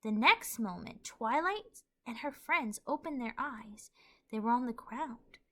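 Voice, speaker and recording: A woman narrates a scripted fan fiction story. Her voice is high and bright, with animated rises and falls as she reads. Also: measured pace.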